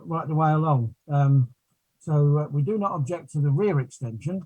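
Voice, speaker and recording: Objecting male resident, earnest, husky and mellow, dry sound. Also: on the high side, no room sound, poor audio. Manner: earnest, hesitant with fillers. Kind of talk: public objection statement.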